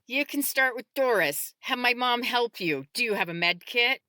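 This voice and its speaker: A woman voicing a capable, practical female medic, brisk and directive yet calm, in an audiobook. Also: fairly high pitch, no room sound, clean studio sound, pitched above the performer's own voice.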